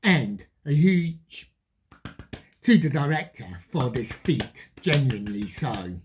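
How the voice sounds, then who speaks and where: A film reviewer addressing viewers in an emphatic, enthusiastic manner with deliberate phrasing. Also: mid-range voice, big pitch swings, a little mellow, slight background noise.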